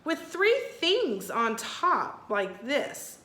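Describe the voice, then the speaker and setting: An eager little girl from a storybook, acted out by a woman, perky and plain-spoken. The voice is high and bright, with big swoops in pitch. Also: big pitch swings, very slow, reverberant, heavily acted.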